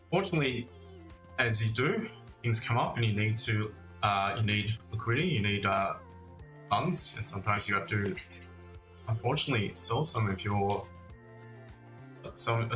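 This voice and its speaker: A man with a fairly low, bright voice hosts a casual livestream, giving chatty commentary straight to his audience. The room adds noticeable echo around his words. He speaks to listeners informally, like mates. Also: normal speed, long pauses. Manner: conversational, addressing viewers as mate and guys. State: recovering from cough and lost voice. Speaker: host of a live trading stream. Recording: roomy echo, faint music.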